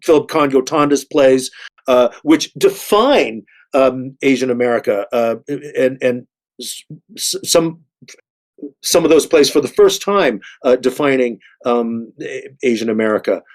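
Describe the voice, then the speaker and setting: A middle-aged man, an actor, talks reflectively in a panel discussion, haltingly, often repeating and restarting his words. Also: mid-range voice, lively intonation.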